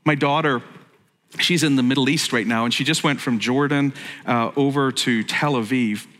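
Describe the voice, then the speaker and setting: Northern Irish pastor, bright, in a hall. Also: male voice, mid-range voice, steady tone, moderate pace. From Northern Ireland. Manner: jokey, conversational, self-interrupting. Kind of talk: sermon.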